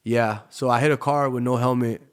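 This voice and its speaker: Chill dj dude from tampa on a podcast, smooth clear voice, middle pitch, lots of "you know". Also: male voice, fairly even intonation, fairly quick. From Tampa, Florida. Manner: laid-back, peppers his talk with "you know". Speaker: podcast guest, DJ. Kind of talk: casual storytelling in a podcast interview.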